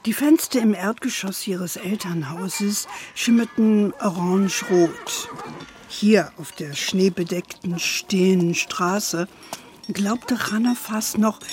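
An actress playing an older, indulgent grandmother telling stories in a children's radio play, with a playful, calm manner. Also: medium pitch, lightly acted. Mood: gentle storytelling. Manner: unhurried, sprinkles English endearments.

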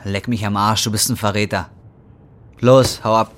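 A man's voice: fairly low pitch, steady tone, moderate pace, a bit bright, natural voice. An actor playing a broke, desperate man in his twenties who talks bluntly and swears, in a naturalistic radio drama. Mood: angry. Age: 20s. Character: broke, desperate young man, hot-tempered and foul-mouthed.

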